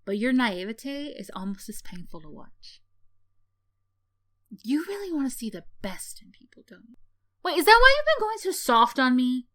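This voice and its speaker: A woman's voice: high voice, sing-song, moderate pace, bright, forceful tone, no room sound, full character voice. A woman playing a sardonic tsundere villain in a roleplay ASMR, teasing and condescending with theatrical sighs and scoffs, yet secretly caring. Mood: condescending, incredulous.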